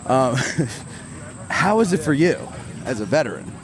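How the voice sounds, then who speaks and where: A man's voice: medium pitch, big pitch swings, unhurried. A man in his forties doing a casual, joking interview on a group hike outdoors, recorded on a handheld recorder. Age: 40s. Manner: chatty, self-deprecating, joking. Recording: outdoor crowd noise around.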